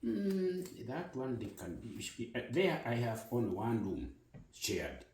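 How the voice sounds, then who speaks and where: A man with a Kenyan accent who runs a care home answers in short bursts and repeats "yes" again and again. His pitch moves around a lot as he speaks. A faint hiss sits behind him. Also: very animated, slow, a little mellow. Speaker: care home owner and nurse. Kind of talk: casual tour conversation.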